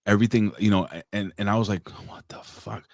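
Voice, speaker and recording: Man, late forties, very low voice, dry close sound, profane rambling talker. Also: steady tone, quick pace, a little mellow, no echo. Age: 40s. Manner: profane, rambling storyteller with many restarts. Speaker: guest. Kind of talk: casual chat.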